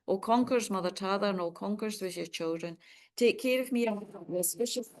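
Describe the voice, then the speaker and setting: A woman Buddhist nun leading a prayer ceremony for an online group, reciting in a steady, measured way and chatting warmly with participants. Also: medium pitch. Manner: friendly, chatty greeting of participants.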